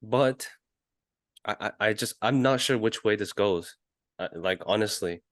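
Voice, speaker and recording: A man co-hosting a casual football podcast, reasoning in a measured, halting way. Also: fairly low pitch, fairly bright tone, no room sound, clean studio sound. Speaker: podcast co-host.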